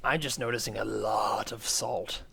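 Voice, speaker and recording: A furtive scholar from the future, played by a man, speaks in a hushed, creaky voice. He is formal and hesitant, stammering through an improvised comedy sketch. There is faint noise around him. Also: fairly low pitch, steady tone, normal speed, exaggerated voice. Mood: furtive, fascinated.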